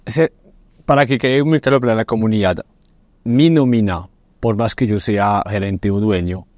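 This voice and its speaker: A man's voice: lowish voice, moderate pace, a little mellow, no room sound. A man in his thirties hosting a podcast interview, summing up points for listeners and asking questions. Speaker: podcast host. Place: indoor podcast studio.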